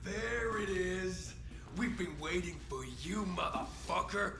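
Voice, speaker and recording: High, shouting, enraged brawler. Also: male voice, slow, gravelly, exaggerated voice. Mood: furious. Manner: shouting, sweary. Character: enraged, foul-mouthed man spoiling for a fight. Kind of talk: video game acting. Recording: echoey, loud background noise, off mic.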